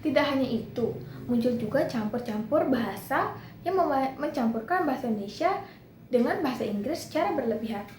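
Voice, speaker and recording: A woman's voice: sits high, animated, fairly quick. Young woman giving a class presentation. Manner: reads points off in lists, with small slips and restarts. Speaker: student presenter. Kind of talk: class presentation explaining a topic. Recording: echoey room with clear background noise.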